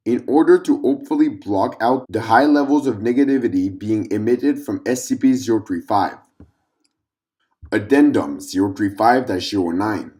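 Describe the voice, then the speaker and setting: Male narrator, dark mellow tone, mid pitch, formal and clinical delivery for scripted document readings.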